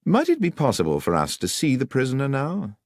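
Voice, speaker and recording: A man reads a keen gentleman detective, his voice lifted a little higher and crisp, probing with dry irony. Lively and exact in a close, dry recording. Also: a little fast, dry recording. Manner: crisp, probing, dryly ironic. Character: sharp, precise male detective, middle-aged.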